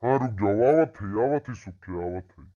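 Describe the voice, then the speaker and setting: A man's voice: medium pitch, animated, normal speed, warm tone, lo-fi sound, close to an everyday voice. A man voicing an angry, threatening village father in a comic cartoon, scolding repetitively in an Awadhi accent. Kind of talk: amateur comic cartoon dialogue.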